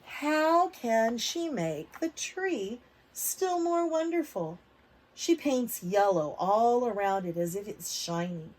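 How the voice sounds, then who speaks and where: A woman reading a picture book aloud to preschoolers, expressive, stretching words for emphasis and putting questions to the children. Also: on the high side, takes it slowly, low-quality recording. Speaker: read-aloud storyteller for preschoolers.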